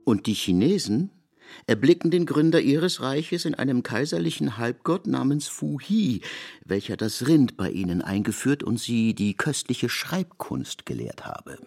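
A man narrating scripted literary prose for a radio production in an expressive, elevated storytelling manner, recorded in a studio. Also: medium pitch, a little slow, vocal fry.

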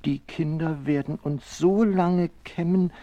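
A man's voice: fairly high pitch, slow, dark tone, lo-fi sound, voice pushed up. A man voicing anxious toys and animals in a plaintive, agitated way for a children's radio story. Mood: plaintive, fearful.

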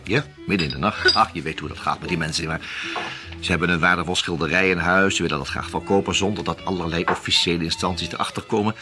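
An actor playing a smooth male art dealer in a radio play, charming, playful and evasive. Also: deep voice, steady tone, fast, music in the background, natural voice.

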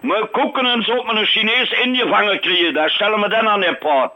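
Male call recipient, high and gravelly, animated pitch, gruff colloquial talk, repeats himself, short-tempered. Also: brisk, very noisy. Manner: blunt, irritated, repeating himself. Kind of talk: gruff, colloquial phone exchange.

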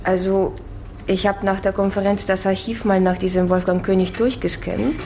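An actress playing a matter-of-fact newspaper colleague in a German radio drama, plain and businesslike. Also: female voice, medium pitch, fairly even intonation, normal speed, mellow, faint music behind, close to an everyday voice. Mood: familiar, businesslike.

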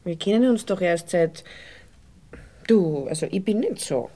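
An actress playing a coy, naive young Viennese sweetheart in a radio play, pouting and often hushed, with a Viennese accent. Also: female voice, fairly low pitch, animated, unhurried. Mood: dazed, pleading. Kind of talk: naturalistic radio play dialogue.

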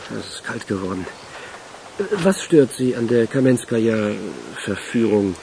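An actor playing an assertive, blunt police major who takes charge, in a German radio crime drama. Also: male voice, lowish voice, unhurried, vocal fry, noisy background. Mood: dry interest.

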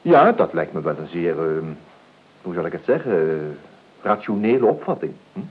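An actor in a Dutch radio play, playing an urbane, evasive nephew with a hesitant, florid, ironically polite way of talking. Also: male voice, sits low, very animated, normal speed, breathy edge, heavily acted. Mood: suave, drily evasive. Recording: dull lo-fi radio recording.

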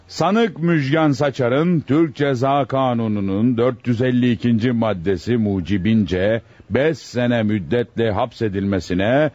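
An actor playing a stern, fatherly presiding judge in a radio drama, speaking formally, deliberately and with authority. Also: mid-range voice, a little mellow, close to an everyday voice. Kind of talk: Turkish radio theatre acting. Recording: muffled, dry lo-fi radio recording.

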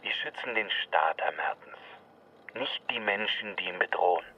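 Cool official, dull muffled sound. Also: male voice, sits low, lively intonation. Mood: cool, dismissive. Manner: matter-of-fact, slow, deliberate. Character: cool, bureaucratic male state security officer. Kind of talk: German radio drama acting.

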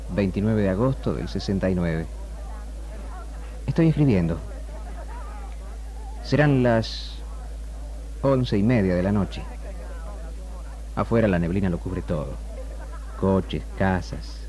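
An actor with an Argentine accent playing a lonely, melancholy man narrating a letter to a lost love in a 1960s radio drama, with measured, literary phrasing. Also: lowish voice, sing-song, mellow, low-quality recording. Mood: melancholy, reflective. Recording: 1960s radio recording, music underneath. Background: faint music.

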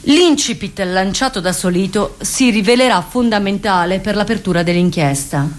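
Woman narrator, medium-pitched voice. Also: moderate pace. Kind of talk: scripted theatrical narration.

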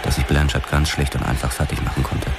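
An actor playing a tough boxer-cop who narrates his own past in a gritty, measured way in a radio drama. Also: extremely low pitch, little pitch movement, creaky. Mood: tense. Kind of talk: scripted first-person narration, hardboiled radio drama. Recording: loud music and effects behind.

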